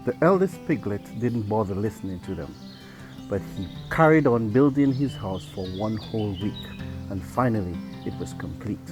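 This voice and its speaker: A father reading a children's bedtime story aloud in a gentle, even storytelling manner. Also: fairly low pitch.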